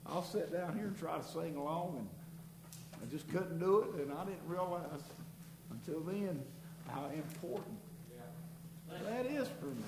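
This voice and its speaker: A man giving an earnest, halting church testimony, his voice nasal and congested from illness and starting to give out. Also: on the high side, animated. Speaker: congregation member giving testimony. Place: church sanctuary. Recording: echoey room with background noise.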